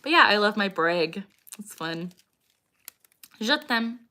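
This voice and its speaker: A young woman in her twenties with a bright, clear voice, hosting a relaxed livestream Q&A. She chats with viewers and greets them one by one, breaking into laughter and sudden vocal tics along the way. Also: mid-range voice, takes it slowly. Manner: chatty, greets viewers by name. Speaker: livestream host. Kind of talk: casual livestream Q&A, reading chat aloud.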